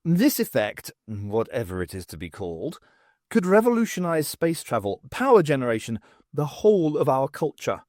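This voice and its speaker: An audiobook narrator voicing a vain, excitable male scientist who declaims grandly. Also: mid-range voice, moderate pace, dry recording, clean studio sound, close to an everyday voice. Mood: grand, excited.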